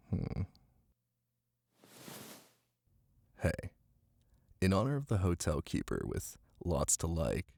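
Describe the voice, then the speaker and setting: A man playing an affectionate, playful boyfriend in an intimate ASMR roleplay, with a deep, husky voice and soft, teasing delivery. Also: no echo, heavily acted. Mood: playful, tender. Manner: soft, unhurried, teasing, with murmured pauses. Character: affectionate, playful, ticklish boyfriend reading aloud to partner. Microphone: close microphone. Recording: close, dry, with rain ambience. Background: rain.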